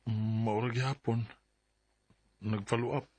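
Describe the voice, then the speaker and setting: Hesitant, lovestruck young Bisaya suitor. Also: male voice, mid-range voice, steady tone, slow, a little mellow, no echo. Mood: pleading. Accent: Filipino, Bisaya. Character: lovestruck young suitor, earnest, insecure, pleading son. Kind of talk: Bisaya radio drama acting.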